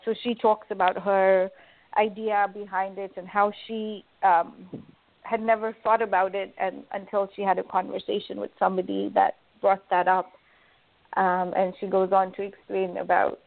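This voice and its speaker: A woman from Kenya speaks as an interview guest, earnest and eager, filling her pauses with ums and uhs. Her voice sits at a middle pitch and comes through a thin, tinny phone line. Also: creaky. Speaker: guest, magazine editor and life coach. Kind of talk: conversational interview. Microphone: phone call.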